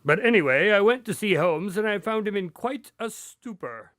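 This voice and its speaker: An actor playing a sensible, wry doctor and loyal friend in a radio drama, conversational and teasing. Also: male voice, high voice, animated, normal speed, a bit bright, dry recording, clean studio sound. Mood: friendly, chatty.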